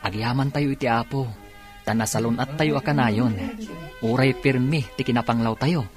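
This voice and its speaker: A man's voice: mid-range voice, steady tone, moderate pace, lightly acted. Man acting a humble young graduate over music. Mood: grateful, good-natured. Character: grateful, humble young male police graduate. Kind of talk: radio drama acting.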